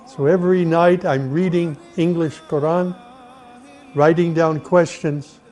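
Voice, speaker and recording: A middle-aged man from the United States, earnestly telling his own life story at an unhurried pace, with pauses and restarts. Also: on the high side, fairly even intonation. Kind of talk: personal testimony, reflective storytelling. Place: Saudi Arabia. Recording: soft music behind the voice.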